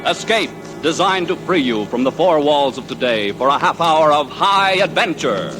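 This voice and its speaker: A man's voice: fairly high pitch, animated, normal speed, poor audio. A male radio announcer in a declamatory, polished 1940s broadcast style. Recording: old radio broadcast with music.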